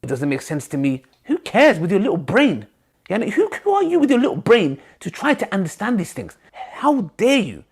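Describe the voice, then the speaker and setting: A man's voice: sits high, huge pitch swings, normal speed, breathy edge. A man in a played clip, speaking in an indignant, scolding way.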